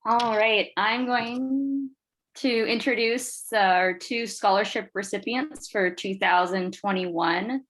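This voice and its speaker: A woman presenting at an online event, introducing scholarship recipients in a measured way with some fillers, on a video call. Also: mid-range voice, fairly bright tone, low-quality recording.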